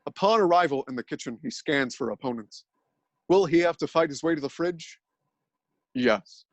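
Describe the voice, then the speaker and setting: A man narrates a scripted dramatic reading in an earnest, deadpan manner. His voice sits a little high for a man. The recording is close and dry, with no room echo. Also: moderate pace. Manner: earnest, deadpan telling of absurd events.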